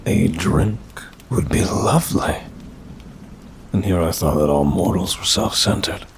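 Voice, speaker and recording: Casting: man to play a wry demon for ASMR roleplay, deep male voice, unhurried, bored and condescending, rain behind. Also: creaky, a little hiss or hum. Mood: languid, wry. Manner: dry, languid, drawling, faintly mocking. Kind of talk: ASMR roleplay, intimate scripted monologue.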